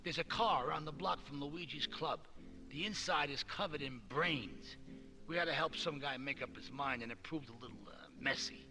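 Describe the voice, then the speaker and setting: Guy doing a smug old mafia boss, kinda high voice, music going underneath. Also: normal speed, close to an everyday voice. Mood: jovial menace. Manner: jovial, patronizing, menacing understatement. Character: boastful older Mafia don. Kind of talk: video game cutscene acting.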